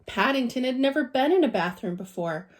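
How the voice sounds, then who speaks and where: A woman's voice: high voice, animated, brisk. A woman teacher reading a children's storybook aloud with expression.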